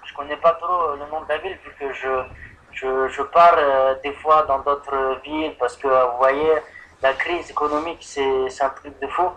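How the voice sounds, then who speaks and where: A man's voice: mid-range voice, fairly even intonation, normal speed, bright, forceful tone, a little hiss or hum. A man on a telephone line making a prank call as a customer, rambling and hesitant as if confused. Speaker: prank caller posing as an insurance customer. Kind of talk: improvised prank phone call.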